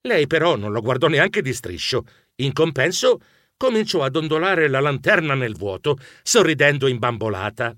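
A man voicing an anxious, bashful gentlemanly mouse who narrates his own adventure in a children's audiobook, expressive and fretful. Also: medium pitch, moderate pace, dry recording, clean studio sound. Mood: bashful storytelling. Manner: expressive, fretful, easily embarrassed.

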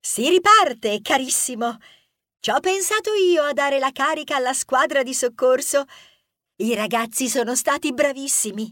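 A woman's voice: sits high, moderate pace, a bit bright, no echo, clean studio sound. A woman voicing a gushing, lovestruck young female rodent train conductor in a children's audiobook, effusive and easily flustered. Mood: cheerful, eager. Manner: effusive, flustered, swooning, repetitive apologies. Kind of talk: children's audiobook character acting.

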